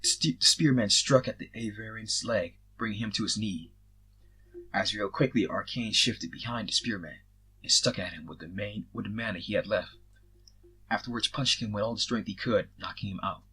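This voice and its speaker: A man's voice: on the low side, normal speed, bright, forceful tone, husky, poor audio. A man reading fan fiction aloud as an amateur scripted story narration.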